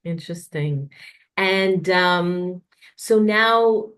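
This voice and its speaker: A woman's voice: on the low side, very slow, fairly bright tone. A woman hosting a podcast, musing in a rambling way with many fillers before she asks her questions. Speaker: podcast host. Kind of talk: casual interview conversation.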